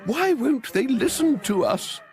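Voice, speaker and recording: A man voicing a sweet but sinister, excitable woman librarian. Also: very high voice, a little slow, faint music behind, pitched above the performer's own voice, exaggerated voice. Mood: gushing. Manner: fluttery, gushing, excitable.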